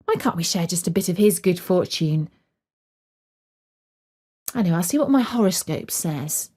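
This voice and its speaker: A woman's voice: lowish voice, animated, unhurried, dark tone, slightly rough. Woman playing a flustered storybook mother, exasperated, dramatic, exclaiming, superstitious. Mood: wistful.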